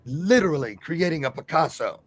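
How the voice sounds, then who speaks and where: A man with a slightly husky voice talks in an effusive rush, eager to hold the floor. His pitch swings widely from phrase to phrase, and the ardor comes through in every sentence. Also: fairly quick. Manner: effusive, animated, talks over others. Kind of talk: enthusiastic casual talk show chat.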